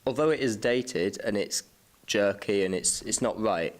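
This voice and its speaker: A man with a fairly low voice hosts a casual film podcast. He feels his way through points, restarting and rewording as he goes. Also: unhurried. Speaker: podcast host.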